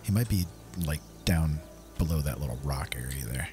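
A man's voice: very deep voice, expressive, moderate pace, over music. A man giving casual, easygoing commentary on his own gameplay, talking to himself as he plays.

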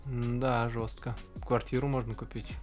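A young man hosting a casual video-call interview, asking short relaxed questions. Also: mid-range voice, moderate pace, faint music behind. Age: young adult. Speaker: host. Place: indoor home room.

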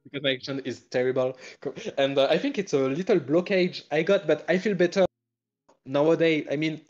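A French-speaking man chatting in English with a French accent, hesitant and self-conscious, pausing often with fillers and laughing. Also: fairly high pitch, a little mellow, muffled recording. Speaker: guest streamer. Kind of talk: casual stream chat.

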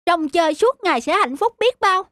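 A performer voicing a lazy, playful young schoolboy with an exclamatory, dreamy and whiny manner in an animated fairy tale. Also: very high-pitched, moderate pace, dry recording, clean studio sound. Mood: bored, wistful.

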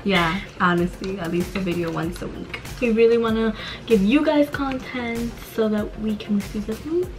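A woman's voice: mid-range voice, normal speed, faint music behind. A woman co-hosting a casual Q&A in a small room, joking in a playful, teasing way. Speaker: co-host of a channel Q&A. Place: small dim bedroom, indoors.

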